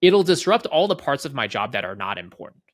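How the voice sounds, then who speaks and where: A man speaking as an interview guest, explaining casually in halting phrases with repeated words and frequent checking tags. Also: mid-range voice, sing-song, no room sound, studio quality. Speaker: interview guest, head of product at a software company.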